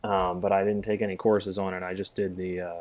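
A man's voice: deep voice, level tone, a little fast, darkish tone. A middle-aged man co-hosting a casual podcast discussion, rambling a bit with self-deprecating humor. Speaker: podcast co-host, music theory teacher.

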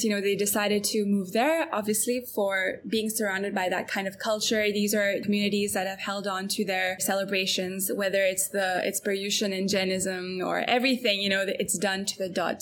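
A young woman from Belgium, a guest in a casual interview, chatting in a relaxed way with many fillers and frequent laughs. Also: mid-range voice, normal speed, a little hiss or hum.

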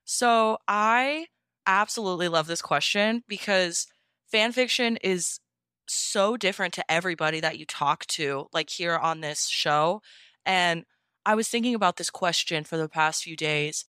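A woman's voice: fairly low pitch, measured pace, sharp tone, clean tone, dry recording, studio quality. A woman guest on a podcast, chatting casually and explaining things earnestly, often saying "like". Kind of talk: casual chat.

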